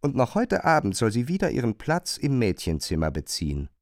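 A man narrating a literary radio play, retelling a chambermaid's grievances in an expressive, emphatic way. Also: medium pitch, a little fast, no room sound, clean studio sound. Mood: engaged, aggrieved.

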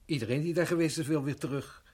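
An actor playing a hearty, reassuring family friend in a radio drama, forthright and firm in manner. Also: male voice, on the high side, fast, a little mellow, breathy edge. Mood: explaining.

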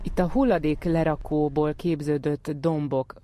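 A man's voice: a little high, fairly even intonation, warm tone. A man reporting on location outdoors, putting interview questions. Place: outdoors on top of a landfill. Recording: outdoor location, clear background noise.

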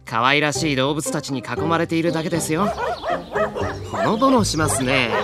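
A man's voice: fairly high pitch, lively intonation, normal speed, close to an everyday voice. A man voicing a mischievous, teasing young fairy in an audio drama, speaking courteously with sly mockery. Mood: smug, polite. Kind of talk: animated Japanese audio drama acting. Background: music and animal sounds.

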